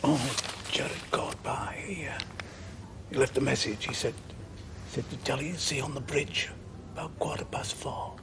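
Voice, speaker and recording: A man acting in a television drama as a weary, stubborn man, speaking in a halting, muted way. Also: medium pitch, steady tone, gravelly, noisy background, natural voice. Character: weary, stubborn man keeping a bedside vigil.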